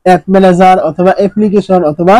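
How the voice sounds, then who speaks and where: A man's voice: sits high, level tone, fast talker, mellow, dry recording. A man narrating a step-by-step phone tutorial, instructing repetitively and often posing questions before answering them. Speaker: tech tutorial presenter.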